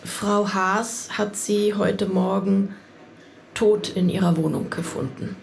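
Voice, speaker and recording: A controlled, matter-of-fact police inspector in a radio drama. Her mid-pitched voice has heavy creak, and she lays things out in measured phrases. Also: steady tone. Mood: sober, sympathetic. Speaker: voice actor in an audio drama. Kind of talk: German radio play acting.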